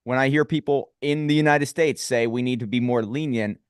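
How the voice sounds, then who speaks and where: A man's voice: mid-range voice, fairly quick, clean tone, no room sound, studio quality. A man hosting a podcast interview, earnest and opinionated as he lays out his views. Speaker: podcast host. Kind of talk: conversational interview.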